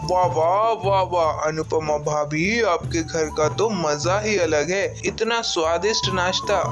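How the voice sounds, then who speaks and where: A man's voice: on the high side, slow pace, over music. An actor playing a cheerful male house guest in a cartoon story, heaping hearty praise on breakfast. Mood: delighted.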